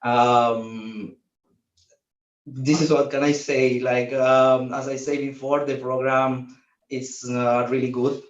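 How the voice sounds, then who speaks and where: A man's voice: mid-range voice, level tone, takes it slowly. A young man from Chile, speaking hesitantly as an alumnus guest over a video call from an office. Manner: hesitant, searching for words. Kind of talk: casual remote testimonial. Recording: roomy, distant, dull video-call audio.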